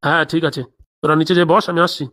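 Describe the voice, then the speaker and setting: A woman's voice: low voice, fairly even intonation, very fast, a bit bright. A woman voicing a crooked, bossy male village boss in a comedy cartoon, scheming aloud with a rural Bengali accent.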